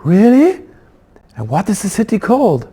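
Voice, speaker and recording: An eager, hopeful little eagle from a children's storybook, acted out by a male reader. The voice sits high and swings up brightly in melody, full of youthful wishing. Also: wildly swooping pitch, moderate pace, husky, heavily acted. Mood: hopeful, excited. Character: young double-headed eaglet's eager, hopeful first head.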